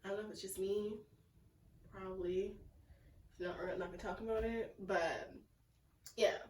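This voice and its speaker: A young woman with a sick, congested, raspy and nasal voice, chatting casually in a home plant vlog with lots of fillers. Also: mid-range voice, fairly even intonation, moderate pace, darkish tone, a little hiss or hum. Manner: chatty and self-deprecating, lots of "like" and "um". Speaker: plant vlogger. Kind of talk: casual rambling vlog chat. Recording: echoey room, mic at a distance.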